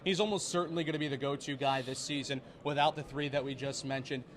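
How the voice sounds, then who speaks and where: A man's voice: mid-range voice, steady tone, fast, a bit bright. A young man asking prepared questions as a sports interviewer. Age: young adult.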